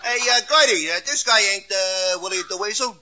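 A man acting in an old radio comedy as a slow-witted, hesitant gangster henchman. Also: high-pitched, bright, forceful tone. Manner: hesitant, halting. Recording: very noisy, muffled old radio recording.

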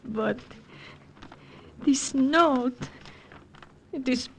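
A woman's voice: sits high, very slow, slight background noise, full character voice. An actress playing a proud, anxious young Frenchwoman with a French accent, in the theatrical style of 1940s radio drama. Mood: stunned, bitter. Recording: old radio broadcast recording.

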